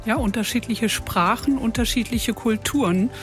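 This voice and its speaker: Brief: female vocal coach guest, lively conversational, faint music behind. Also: on the high side, moderate pace. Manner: lively storytelling with fillers. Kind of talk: conversational radio interview.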